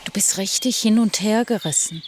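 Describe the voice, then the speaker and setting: A woman's voice: mid-range voice, normal speed, background noise, lightly acted. A woman playing a reassuring, sympathetic friend with a Swiss German accent in a children's audio drama.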